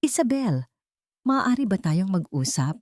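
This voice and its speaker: A grave Filipino billionaire in an audio melodrama, acted out by a woman narrator. He speaks with weight and urgency, with lively swings in pitch. The sound is dry, with hardly any room. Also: unhurried, dry recording. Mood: earnest. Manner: serious, weighty, pressing. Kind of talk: dramatic audio story reading.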